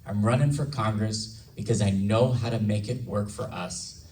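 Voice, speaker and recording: A hoarse, slightly raspy man giving an earnest campaign speech at a candidate forum. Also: on the low side, steady tone, moderate pace, darkish tone, far from the mic, low-quality recording. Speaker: congressional candidate. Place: large event hall.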